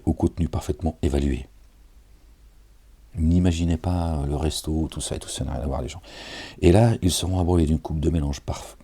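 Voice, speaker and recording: Male preacher, very deep voice, husky; reads scripture loosely, sounds tired, chatty asides. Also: warm tone. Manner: casual, digressive, chuckles, addresses listeners directly. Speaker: self-styled preacher reading and commenting on scripture. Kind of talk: informal scripture reading with commentary.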